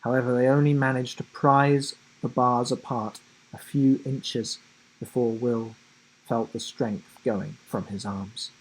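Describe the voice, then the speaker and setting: A man reading a children's storybook aloud in a dramatic, suspenseful way with deliberate pauses. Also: medium pitch, steady tone, slightly rough, low-quality recording. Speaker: children's book narrator. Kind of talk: dramatic scripted storybook narration.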